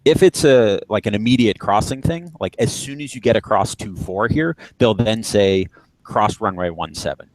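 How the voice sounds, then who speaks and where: A man's voice: lowish voice, moderate pace, no room sound, low-quality recording. A man giving an informal lesson as an instructor, explaining step by step and repeating points for emphasis. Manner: explanatory, emphatic repetition for stress. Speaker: flight instructor. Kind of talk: informal live lesson on radio procedures.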